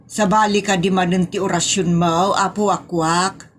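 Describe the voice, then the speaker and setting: A woman's voice: lowish voice, steady tone, normal speed, slight background noise, close to an everyday voice. A woman playing a gullible, fretful village mother with an Ilocano accent in a comedy audio drama. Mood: pleading, concerned.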